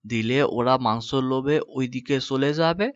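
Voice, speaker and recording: A man's voice: medium pitch, moderate pace, smooth, no echo, highs cut off, close to an everyday voice. A man playing a bumbling, good-hearted boatman in a cartoon story, explaining things earnestly. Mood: urgent scolding. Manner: explanatory, stumbling over words. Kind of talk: Bengali cartoon story dubbing.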